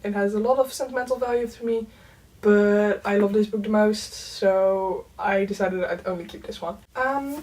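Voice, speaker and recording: A young woman whose first language is Dutch, chatting casually in a book vlog, full of "um" and "like" and soft laughs. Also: mid-range voice, far from the mic, rough recording. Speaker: book vlogger. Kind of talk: casual chat.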